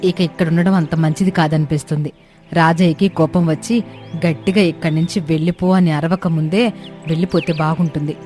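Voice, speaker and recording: Woman playing envious village mother-in-law, lowish pitch, insistent, grumbling, scheming, cartoon story, music behind. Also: steady tone, brisk, warm tone, natural voice. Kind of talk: dramatized moral-story cartoon dialogue.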